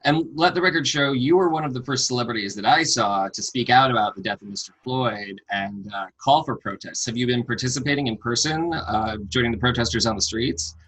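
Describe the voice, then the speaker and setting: Man, interviewer, friendly and earnest, bright voice, video call. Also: medium pitch, a little fast, few pauses, breathy edge, low-quality recording. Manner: friendly, earnest, many fillers. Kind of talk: remote interview.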